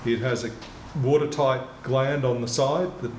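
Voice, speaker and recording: Male, 60s, methodical product presenter, mid-pitched voice, roomy shop sound with clear background noise. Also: fairly even intonation, normal speed, darkish tone. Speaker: kayak retailer presenting a product. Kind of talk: explanatory product demonstration. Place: indoor kayak shop or workshop.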